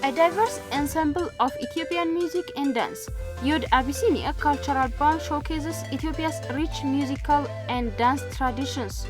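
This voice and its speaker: High-voiced woman reading news narration. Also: music in the background. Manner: measured, formal. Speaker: news reporter.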